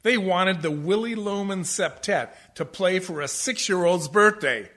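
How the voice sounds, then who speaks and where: A man's voice: high-pitched, expressive, measured pace, a bit bright. A man playing an aggrieved, washed-up older jazz musician in a comic radio play, grumbling theatrically and swelling into indignation. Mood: weary grumbling. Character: aggrieved, ranting, washed-up older jazzman, husband and father.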